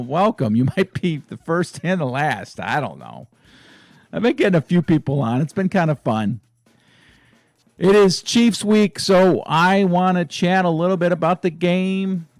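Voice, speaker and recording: A hoarse man hosting a sports fan channel, chatting casually and excitedly about his team's week. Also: fairly high pitch, dry recording. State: hoarse, raspy voice. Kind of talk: casual fan vlog chat.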